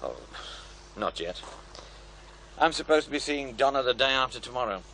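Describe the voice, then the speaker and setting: An actor playing a sceptical programme-maker in a radio drama, asking probing questions in a dry, blunt manner. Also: male voice, medium pitch, expressive, normal speed, pushed and bright, a little hiss or hum, rough recording. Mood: pressing, doubtful. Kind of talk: naturalistic radio drama acting.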